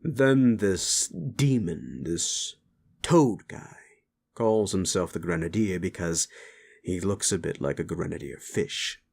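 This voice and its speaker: Stern, slow-spoken underworld club keeper, played by a man. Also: deep voice, lively intonation, creaky, darkish tone, slightly rough, full character voice. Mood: stern warning. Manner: measured, scolding, authoritative. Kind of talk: dramatic audio roleplay acting.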